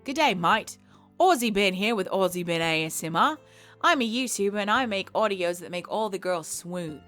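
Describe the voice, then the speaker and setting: A woman's voice: medium pitch, expressive, moderate pace, fairly bright tone, soft background music, lightly acted. A woman putting on a cheeky, boastful young Australian man for a playful impression. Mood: cocky. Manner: exaggerated Aussie impression, breezy and boastful.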